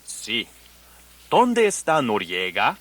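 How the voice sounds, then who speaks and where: A man playing an earnest language teacher in a radio parody, enunciating deliberately in mock foreign phrases. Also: mid-range voice, wildly swooping pitch, a loud crowd. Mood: instructive. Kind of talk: parody of a broadcast language lesson.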